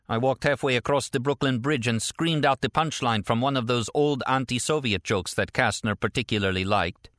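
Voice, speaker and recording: A man's voice: medium pitch, fairly bright tone, dry recording, studio quality, pitched above the performer's own voice, natural voice. A man voicing a tender, teasing, anxious woman in an audiobook novel. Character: frightened, teasing, tender American woman on a phone call.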